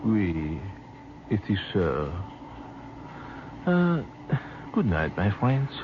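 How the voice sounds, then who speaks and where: A courteous French army captain in an old radio play, with a French accent and gently philosophical, formal phrasing. His low voice rises and falls widely, full of wistful sentiment. The vintage recording is dull, with clear noise over it. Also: very animated, drawn-out words, full character voice. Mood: sleepy, gentle. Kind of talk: old-time radio drama acting.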